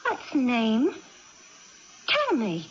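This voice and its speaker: A confused childlike girl, high swoopy voice, blunt questions, muffled old radio drama. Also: huge pitch swings, drawn-out words, heavily acted. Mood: suspicious, puzzled. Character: bewildered, childlike young woman reborn with amnesia. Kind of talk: 1980s radio drama acting. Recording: muffled old radio broadcast, faint noise.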